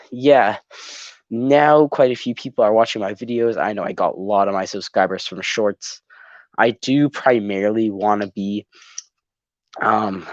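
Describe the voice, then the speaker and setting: A teenage boy from Canada chats casually on a phone live stream, his nose still congested from a cold. His voice sits a little low and rambles with lots of ums, captured dry and close with a dull, lo-fi tone. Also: no echo. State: congested, a bit sick. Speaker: YouTube channel host. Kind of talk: casual live-stream chat while building.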